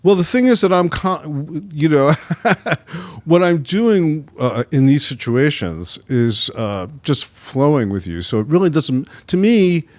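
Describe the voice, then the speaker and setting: Lively-pitched man, dry close sound. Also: slow, no room sound. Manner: easygoing, laughs often, reflective musings. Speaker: podcast guest. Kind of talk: casual chat.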